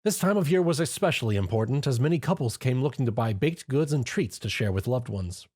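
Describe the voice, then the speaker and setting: A man narrating a fan story in a lively storytelling manner. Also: medium pitch, brisk, slightly rough. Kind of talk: fan fiction reading.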